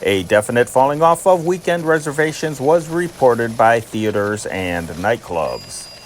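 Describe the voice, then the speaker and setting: A man reading scripted news dispatches in a formal, measured newsreader manner. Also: mid-range voice, sing-song, slight background noise, rough recording. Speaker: narrator and channel host reading historic news dispatches.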